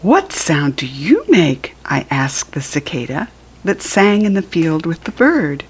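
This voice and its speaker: A woman's voice: low voice, very animated, unhurried, husky. An American woman reading a picture book aloud to young children, with simple, instructive asides. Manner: acts out animal sounds while reading.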